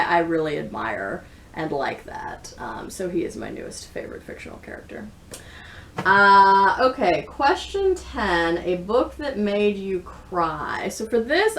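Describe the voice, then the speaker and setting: A woman giving a casual, reflective book chat, speaking in a measured, bookish way. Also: fairly low pitch, distant mic. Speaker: book reviewer.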